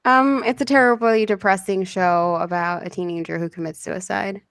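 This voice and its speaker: A woman's voice: medium pitch, steady tone, moderate pace, vocal fry, fairly bright tone, clean tone, dry recording, clean studio sound. Scratchy-voiced woman hosting a livestream. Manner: chatty, giggly, reads chat messages aloud. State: hoarse, losing her voice. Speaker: livestream host interviewing a guest. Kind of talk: casual livestream chat.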